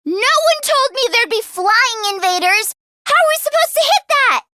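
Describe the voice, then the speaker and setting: A woman voicing an excitable, earnest teenage girl inventor who gets easily flustered, in an expressive anime-style video game performance. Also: very high voice, normal speed, background noise. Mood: exasperated. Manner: expressive, swinging from deadpan grumbling to frantic outbursts.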